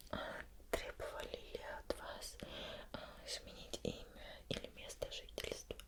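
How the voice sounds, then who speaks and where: A woman doing an ASMR roleplay as an interviewer, putting questions slowly and gently in a formal, deliberate way with pauses.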